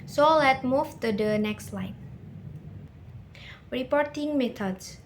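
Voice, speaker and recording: A woman's voice: high voice, slow. A young woman with an Indonesian accent giving a careful, formal rehearsed slide presentation as a student. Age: young adult. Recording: slight background noise.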